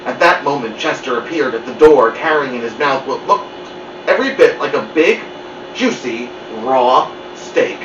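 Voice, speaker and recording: A man reading a children's book aloud in an animated storytelling style. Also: mid-range voice, moderate pace, background noise. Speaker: story-time reader. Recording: muffled, roomy, distant mic.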